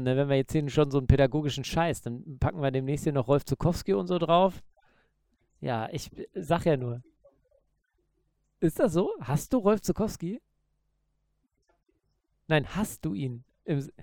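Podcast co-host, male: dark, relaxed tone with a gravelly edge, loose banter. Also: fairly high pitch, moderate pace, long pauses, dry recording. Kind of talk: casual chat.

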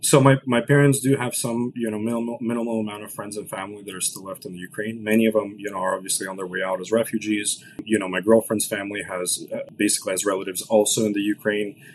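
A man's voice: on the low side, level tone, a little hiss or hum, rough recording. A man in his late twenties from Los Angeles, a founder talking about his business as a podcast guest, with many restarts. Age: late 20s. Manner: fast business talk with many restarts and "right?". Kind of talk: podcast interview.

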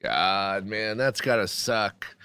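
A man's voice: on the low side, slow, sharp tone, smooth, dry recording. A man co-hosting a casual podcast chat, throwing in sarcastic jokes. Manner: sarcastic, joking. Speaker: podcast co-host.

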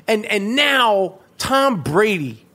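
A man's voice: high voice, big pitch swings, takes it slowly, sharp tone. A man hosting his podcast in casual chat, swearing often and stumbling over restarts. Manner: profane, stammering restarts. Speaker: podcast host.

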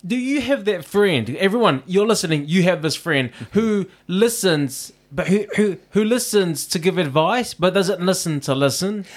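A man whose first language is Samoan, co-hosting a podcast in an animated, jokey way. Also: high-pitched, normal speed, few pauses. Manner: animated, jokey, breaks into song and playful voices. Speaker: podcast co-host. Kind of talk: casual chat.

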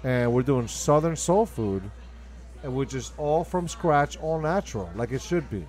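A man's voice: medium pitch, animated, a little slow, a little mellow. Argentine-accented man in conversational interview. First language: Spanish. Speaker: guest, restaurant owner.

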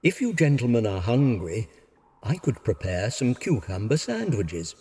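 A man's voice: mid-range voice, sing-song, unhurried, warm tone. A man playing a devoted older butler in an audio drama, speaking with formal, deferential courtesy. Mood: politely attentive. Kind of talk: fan audio drama acting. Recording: long hall-like reverb.

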